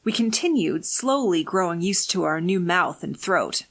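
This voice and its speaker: A woman narrating a fiction audiobook in a measured, deliberate, scripted reading. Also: on the low side, lively intonation.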